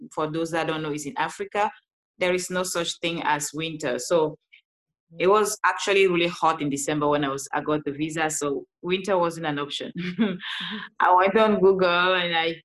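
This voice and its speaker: A woman from Cameroon with a Cameroonian accent, telling her story as an interview guest in an animated way with frequent laughs. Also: lowish voice. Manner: animated, laughs often, restarts sentences. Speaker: guest, life coach.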